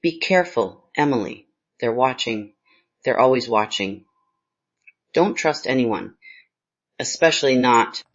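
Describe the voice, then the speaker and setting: A man's voice: mid-range voice, lively intonation, unhurried, long pauses, a bit bright. A man playing a grave, fearful investigator mother in a screenplay reading. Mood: fearful warning. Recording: slightly dull, lo-fi sound.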